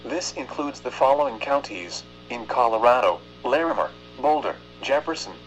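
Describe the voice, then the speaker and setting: A man reading a formal, measured emergency weather bulletin as an announcer. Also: mid-range voice, animated. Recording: dull lo-fi weather radio sound, music.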